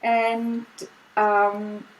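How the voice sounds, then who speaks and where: A woman's voice: mid-range voice, monotone, drawn-out words, slight background noise, rough recording. A woman from Austria with an Austrian German accent, chatting as a guest artist in a casual interview, full of fillers and frequent laughter. German is her first language.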